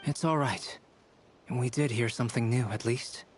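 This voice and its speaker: A man's voice: mid-range voice, moderate pace, slight background noise, natural voice. An actor playing a cool-headed young male scholar in a game drama, speaking tersely and matter-of-factly. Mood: dry, reflective. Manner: matter-of-fact, dry, terse. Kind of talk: dramatic video game voice acting.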